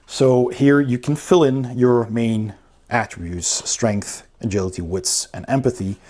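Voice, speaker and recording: A man's voice: medium pitch, fairly even intonation, unhurried, warm tone. A man giving a casual, unscripted review commentary, explaining things step by step as he goes through a rulebook. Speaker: host of a board game review channel.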